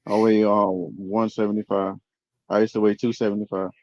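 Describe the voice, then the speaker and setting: A man's voice: on the low side, little pitch movement, normal speed, a little mellow. A man in his forties chatting casually and laid-back, saying "bro" a lot. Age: 40s. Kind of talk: casual chat. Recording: dull lo-fi sound.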